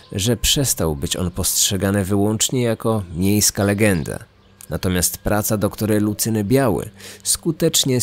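A man's voice: fairly low pitch, slight background noise. A man reading scripted true-crime narration as the narrator of a podcast. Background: background music.

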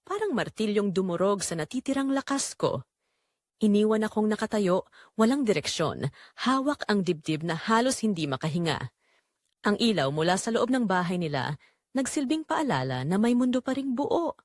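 A woman's voice: mid-range voice, big pitch swings, moderate pace, no echo, clean studio sound. A woman narrating a scripted romance drama in the first person, with an emotive, sorrowful delivery.

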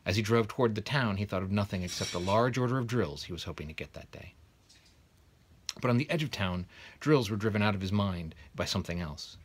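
A man's voice: on the low side, lively intonation, fairly quick, a little hiss or hum. A man in his thirties reading a children's story aloud as a narrator. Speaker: guest reader of a children's novel. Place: small bedroom, indoors, sitting in bed.

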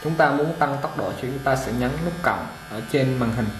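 A man giving a casual unboxing demonstration of a hair clipper, talking relaxed with many fillers while he handles it. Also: mid-range voice, normal speed. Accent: Vietnamese. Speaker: product reviewer for a barber supply shop. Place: indoor shop table with clipper boxes. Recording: echoey room with machine noise. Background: clipper motor buzz and clicks.

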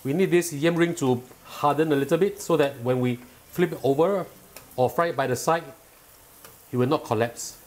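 A middle-aged man hosting a cooking show, explaining each step calmly and patiently in a home kitchen. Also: fairly high pitch, normal speed. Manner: calm, patient and instructive, enthusiastic when tasting.

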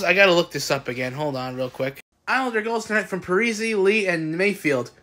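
A young man giving casual, excitable postgame recaps as a sports fan, recorded on a phone at home. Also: high-pitched. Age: young adult. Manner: excitable, exclamatory fan cheering. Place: small indoor room at home. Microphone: phone at arm's length.

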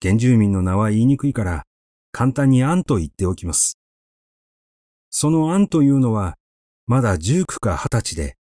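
A man reading a literary story aloud in a measured, expressive, conversational storytelling manner, close to the microphone. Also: on the low side, no echo. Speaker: audiobook reader.

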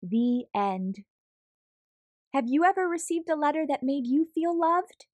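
A woman reading a picture book aloud to children in an expressive, deliberate, theatrical style. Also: sits high, no echo. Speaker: children's story reader.

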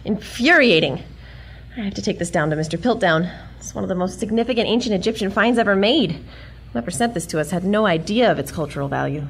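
Actress as animated, prim young scholar. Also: female voice, medium pitch, brisk, slight background noise, lightly acted. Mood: flustered. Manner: articulate, excitable, haughty. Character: smart, prim, flustered young female museum scholar. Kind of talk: comic 1940s-style audio drama acting.

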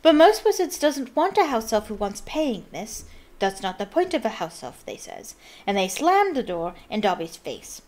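A woman voicing a small, eager male house elf from a storybook, squeaky and servile, with broken grammar. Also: very animated, normal speed, darkish tone. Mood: earnest, proud. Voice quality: squeaky, shrill.

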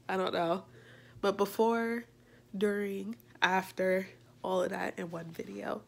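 Gravelly, congested young woman vlogging. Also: medium pitch. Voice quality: a little congested. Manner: chatty, rambling, self-mocking. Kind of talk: casual selfie vlog. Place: small room indoors. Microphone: phone camera at arm's length.

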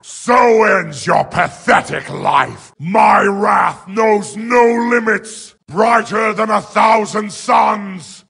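A wrathful giant robot tyrant from a video game. The voice is raised high and heavily gravelled, with slow, grandiose threats that often break into shouting. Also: male voice, heavily acted. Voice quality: harsh, gravelly. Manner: grandiose, menacing declamation. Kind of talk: video game villain battle lines.

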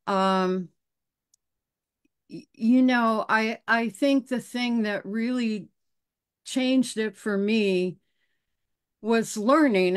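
Slow, thoughtful woman on a video call. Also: medium pitch, clear, resonant tone. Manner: slow, thoughtful, with hesitant restarts. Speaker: dog behavior specialist. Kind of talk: panel discussion on a video call.

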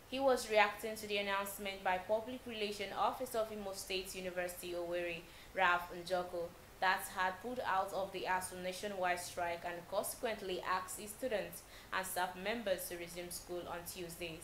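A young Nigerian woman reading a news bulletin as a TV newsreader, steady and measured with small slips. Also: medium pitch, echoey room, slight background noise, poor audio. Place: TV news studio.

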